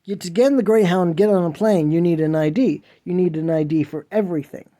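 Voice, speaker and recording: A man's voice: sits high, a little fast, dark tone. A man hosting a podcast, delivering an impassioned solo monologue in a whiny voice, earnest and preachy as he pleads with listeners. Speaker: podcast host.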